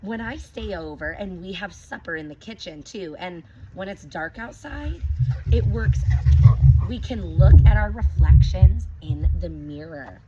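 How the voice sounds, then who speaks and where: A woman teacher reading a picture book aloud to children, gently stopping to ask them questions. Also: fairly low pitch, animated, measured pace. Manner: gentle, asks children questions between pages. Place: outdoors with her dogs. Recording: dull lo-fi recording with clear background noise.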